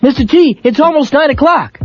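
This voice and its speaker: A whiny high-voiced boy. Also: expressive, a little fast, dull audio, soft background music. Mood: eager. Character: whiny young boy teammate, sulky.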